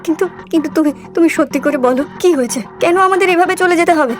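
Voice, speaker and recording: A woman's voice: very high-pitched, quick pace, soft background music. A woman voicing an anxious village wife and mother in a cartoon ghost story, pleading and repeating her words. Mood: worried, pleading. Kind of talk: Bengali animated ghost story voice acting.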